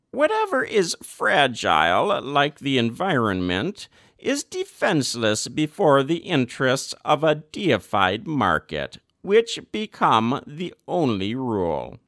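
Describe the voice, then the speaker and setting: A man reading a formal document aloud as scripted narration, with measured, deliberate phrasing. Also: medium pitch, a bit bright, dry recording, studio quality. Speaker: volunteer audiobook reader.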